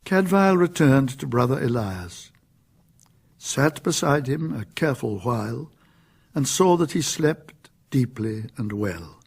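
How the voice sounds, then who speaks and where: A British man narrating a radio drama in a measured, literary storytelling manner. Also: mid-range voice, animated, vocal fry, husky.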